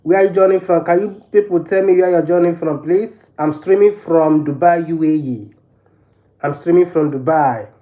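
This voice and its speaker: A man's voice: a little high, moderate pace. A man from Nigeria with a Nigerian accent hosting a casual livestream, addressing viewers and repeating his phrases insistently. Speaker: live stream host. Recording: dull lo-fi live stream, background noise.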